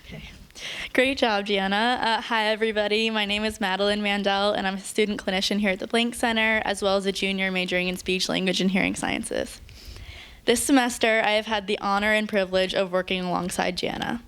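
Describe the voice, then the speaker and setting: Young female student presenter, clear smooth voice, earnest prepared remarks. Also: medium pitch, fairly even intonation, a little fast, a bit bright. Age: young adult. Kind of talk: prepared tribute speech.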